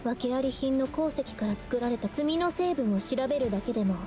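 High-voiced, earnest young anime painter. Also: female voice, animated, music in the background. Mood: earnest, firm. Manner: polite, solemn, measured speech. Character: earnest, gentle young female painter confessing her secret. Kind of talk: anime game voice acting.

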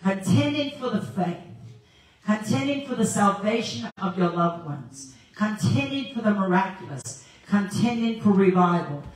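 A woman's voice: mid-range voice, a little slow. A woman in her sixties from South Africa, a guest evangelist preaching with a handheld mic and telling stories with laughter. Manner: animated storytelling with laughs. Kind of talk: preaching sermon. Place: large church sanctuary, indoors. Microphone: handheld mic, filmed by far camera. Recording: very echoey hall from far off.

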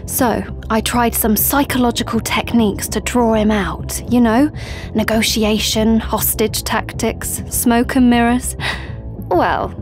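An actress playing a blunt teenage sister, chatty and wry, in an audio drama monologue. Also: female voice, on the high side, steady tone, takes it slowly, soft background music.

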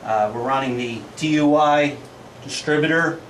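Male presenter, forties, slightly high voice, plain-spoken product pitch, picked up off-mic with some background noise. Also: moderate pace. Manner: salesman-like, plain-spoken, listing specs in clipped phrases. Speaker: engine builder presenting a product video. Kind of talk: informal product walkthrough. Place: small indoor engine workshop. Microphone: camera microphone a few feet away. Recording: echoey small room, distant camera microphone, background noise.

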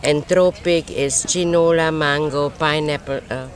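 A man vendor haltingly listing his products at an outdoor market. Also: on the high side, steady tone. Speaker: food vendor.

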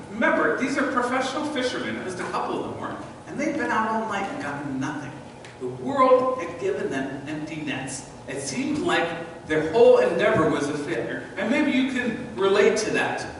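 A middle-aged man preaching a sermon as pastor in a church sanctuary, animated and gesturing as he walks before the altar. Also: high voice, background noise. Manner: animated, sweeping arm gestures while walking. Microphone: far camera in the church. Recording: echoey church from a distance.

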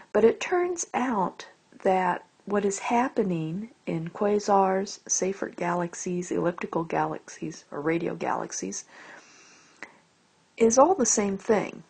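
A woman giving an explanatory lecture as a teacher, phrasing deliberately and stressing key words. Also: mid-range voice, gravelly, a little mellow, husky. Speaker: astronomy lecturer. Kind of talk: explanatory lecture over slides.

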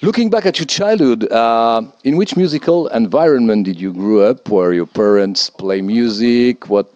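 A man's voice: mid-range voice, lively intonation, moderate pace, darkish tone, no echo, highs cut off. A man, native French speaker, interviewing a band for a metal radio show, reading questions from a sheet. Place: festival backstage room, indoors.

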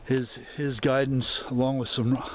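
A man narrates as he pushes through thick woods, holding his camera as he goes. He talks in a loose, rambling way, full of ums and restarts, at a middling pitch. A faint outdoor hiss sits under the voice. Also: little pitch movement, a little slow. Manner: rambling, many fillers and restarts. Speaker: explorer vlogger. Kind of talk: walk-and-talk vlog narration. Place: dense overgrown woods outdoors. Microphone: handheld camera mic while walking.

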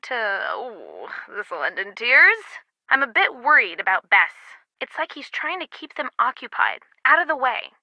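An actress playing a brisk, wry young woman, a loyal friend and teammate, in a casual video game delivery. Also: sits high, bright, forceful tone, dry recording, dull audio, full character voice. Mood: concerned.

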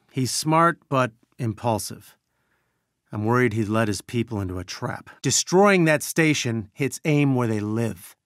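A man's voice: mid-range voice, no echo, clean studio sound, lightly acted. A man playing an earnest scientist who leads a resistance, briefing a team in a businesslike, urgent way in a video game. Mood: concerned, sober. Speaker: game character giving mission briefings. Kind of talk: video game mission dialogue.

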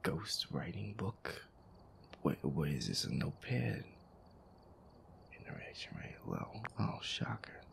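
A man playing a feisty, sweary werewolf boyfriend who grumbles his way through a horror game in an audio roleplay, his voice breathy and gravelly. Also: deep voice, big pitch swings, takes it slowly, long pauses, in a whisper, exaggerated voice. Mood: grumbling, uneasy. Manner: exasperated, sweary grumbling, reading game text aloud. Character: feisty werewolf boyfriend, grumpy, sweary, easily scared gamer.

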